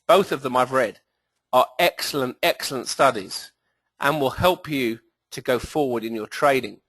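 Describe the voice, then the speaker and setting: A man's voice: a little high, a bit bright, no room sound. Middle-aged British man, emphatic presenter, dry close sound. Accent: British English. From England. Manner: enthusiastic, emphatic, frequent fillers. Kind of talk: webinar presentation.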